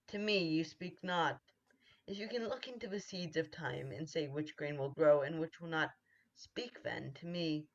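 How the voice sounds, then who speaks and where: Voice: mid-range voice, natural voice. A student actor playing a wary, bold noble warrior, declaiming in the style of a 1940s radio Shakespeare play, on dull audio.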